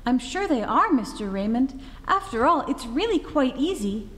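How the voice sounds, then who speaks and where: A woman's voice: sits high, big pitch swings, normal speed, a bit bright. A woman playing a chipper old-time radio advertising announcer, perky and selling, recorded on a reverberant stage.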